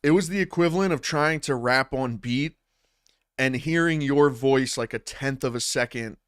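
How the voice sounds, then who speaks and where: A man's voice: medium pitch, moderate pace, fairly bright tone, clean tone, dry recording. A man chatting casually on a livestream about battle rap, rambling loosely with many restarts and filler like "man" and "bro", recorded on a studio dynamic mic at a home setup. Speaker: streamer and battle rap commentator. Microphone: studio dynamic mic through audio interface.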